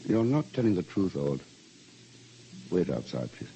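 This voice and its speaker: A low-voiced, formal British housemaster. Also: male voice, very animated, creaky, dark tone, slight background noise, exaggerated voice, rough recording. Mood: stern, probing. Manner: formal, measured, precise wording. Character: anxious, stern housemaster at an English boys' boarding school. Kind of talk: old-time radio drama acting and first-person narration. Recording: old radio drama with music behind.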